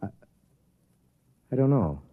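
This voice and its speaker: Anxious suburban American father, earnest and urgent, expressive, slightly rough-edged voice, vintage radio drama. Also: medium pitch, takes it slowly, no room sound, heavily acted. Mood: puzzled, uneasy. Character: anxious suburban father unsettled by his strange child. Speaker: radio drama lead and narrator. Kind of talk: 1950s radio drama acting, with first-person narration. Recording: muffled vintage radio recording.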